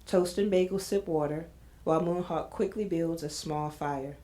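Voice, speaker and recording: A woman's voice: fairly low pitch, normal speed, mellow. A woman narrating an audio drama, reading screenplay stage directions in a scripted style.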